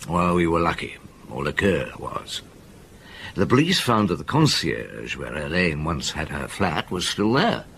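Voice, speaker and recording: An actor playing a seasoned, thoughtful police detective in a radio drama, measured and reflective as he recounts a case. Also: very deep voice, sing-song, gravelly, a bit bright, slight background noise, full character voice.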